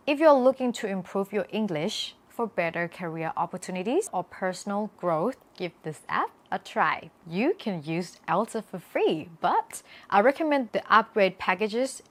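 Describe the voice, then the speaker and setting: A young adult woman from Thailand, a native Thai speaker, explaining things emphatically to camera as a vlogger. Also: medium pitch. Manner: emphatic, repeats key points. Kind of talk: talking to camera, explanatory vlog with sponsor pitch.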